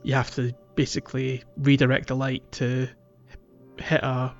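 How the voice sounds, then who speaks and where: A man's voice: medium pitch, completely flat delivery, a little slow, gravelly. A man co-hosting a podcast, casually explaining game details in an informal way, on a slightly lo-fi recording. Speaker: podcast host. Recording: slightly dull, lo-fi microphone sound. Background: faint music.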